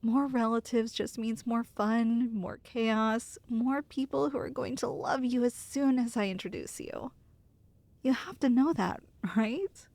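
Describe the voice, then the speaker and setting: A woman acts out a loving girlfriend in an intimate ASMR roleplay. Her voice sits a little high with a noticeable creak, recorded dry and close. She teases playfully and reassures her nervous partner tenderly. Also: measured pace, a little mellow, no room sound. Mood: tender reassuring.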